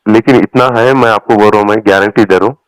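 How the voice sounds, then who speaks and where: A man's voice: on the low side, fairly even intonation, fast talker, clean tone, no room sound. Emphatic Hyderabadi man on a phone. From Telangana, India. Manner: emphatic, repeats phrases, colloquial. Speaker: party supporter on a phone call. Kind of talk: political rant in casual phone conversation. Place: phone call recording. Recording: recorded phone call.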